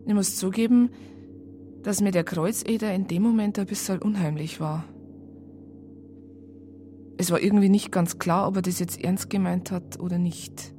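A woman's voice: mid-range voice, steady tone, moderate pace, pauses often, mellow, slight background noise, lightly acted. A woman playing a police colleague who recounts a case in a matter-of-fact, colloquial way with a Bavarian accent. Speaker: narrator. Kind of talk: first-person conversational narration in radio crime drama.

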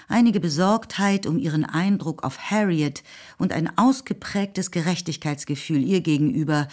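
A woman narrating a literary radio drama in a measured, deliberate way, as a composed storyteller. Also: low voice, darkish tone. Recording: music under the voice. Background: music.

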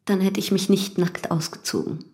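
An actress playing a calm, unashamed young widow in love, in a German radio drama. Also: female voice, medium pitch, normal speed, lightly acted. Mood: composed, matter-of-fact. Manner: composed, unashamed.

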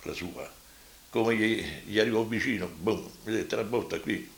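An elderly man in his eighties with a Roman accent, recounting his memories as a witness, vividly but with halting repetitions. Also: lowish voice, creaky, reverberant. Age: 80s. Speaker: survivor giving testimony. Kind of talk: spoken reminiscence.

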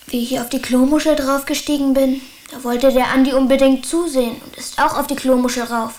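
A woman acting a plucky, troubled schoolboy with a high voice. The delivery is colloquial and matter-of-fact, in radio drama style, recorded with a roomy echo. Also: fairly even intonation, brisk, darkish tone, lightly acted. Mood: sheepish.

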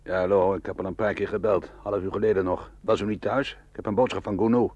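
An actor playing a shrewd police inspector in a Dutch radio play, with a clipped, dryly ironic way of talking. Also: male voice, low-pitched, steady tone, quick pace, darkish tone. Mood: businesslike. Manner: clipped questioning, dry irony. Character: shrewd, dry-witted male police inspector, brusque but helpful. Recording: muffled, noisy old radio play recording.

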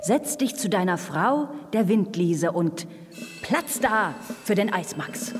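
An actress playing a calm, dignified night fairy who hosts with gentle authority in a children's radio play. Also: female voice, mid-range voice, slow pace, big-room sound, soft background music. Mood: calmly directing. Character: gracious, calm night fairy, patient queenly hostess.

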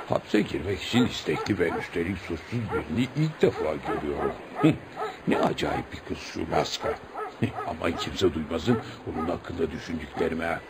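An actor playing a gruff, chatty prison guard who grumbles colloquially in a radio play. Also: male voice, rumbling low, heavy background noise. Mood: puzzled muttering. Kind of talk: radio theatre acting.

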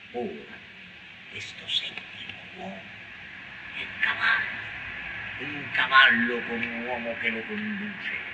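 A man giving a theatrical recitation of poetry on radio, declamatory and halting, drawing out words with strong swings in emphasis. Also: medium pitch. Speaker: reader performing a poetic radio text. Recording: noisy radio broadcast recording.

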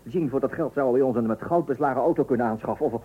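An actor playing an excitable, fretting husband in a radio play, blustering and theatrical about household matters. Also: male voice, medium pitch, rapid-fire, husky, natural voice. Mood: agitated. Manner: blustering, dramatic, emphatic repetition. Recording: muffled narrow-band old radio recording.

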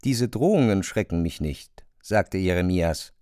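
A man narrates a literary radio play and reads the dialogue with drama. His voice is low and moves widely in pitch. The sound is close and dry. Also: animated, a little slow, a little mellow, smooth, no room sound.

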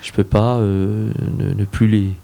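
A male singer being interviewed on radio, talking haltingly and searching for his words. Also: on the low side, little pitch movement, vocal fry, mellow. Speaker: singer guest.

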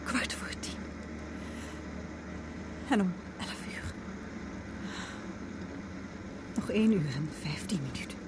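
A woman's voice: lively intonation, unhurried. An actress playing an anxious, agitated young woman in a hurry in a radio drama. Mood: desperate, anxious. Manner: breathless, agitated, half-whispering to herself, curt with others. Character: anxious young woman driving desperately against time. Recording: noisy radio play recording, engine rumble.

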